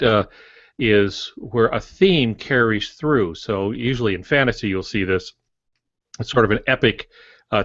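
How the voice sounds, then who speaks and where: Low-voiced older man co-hosting a podcast. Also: fairly bright tone, no echo, highs cut off. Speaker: podcast co-host. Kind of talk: casual explanatory podcast talk.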